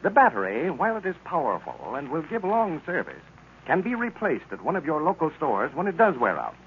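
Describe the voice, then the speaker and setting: A man's voice: a little high, wildly swooping pitch, brisk. A man working as an old-time radio announcer, reading scripted sponsor copy with polished, persuasive salesmanship. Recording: tinny, noisy old radio recording.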